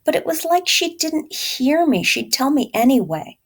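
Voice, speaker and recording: A woman's voice: high-pitched, very animated, normal speed, voice pushed up. Woman reading as wry young boy narrator. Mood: recollecting. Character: young boy narrator, plainspoken, wry, remembering his mama.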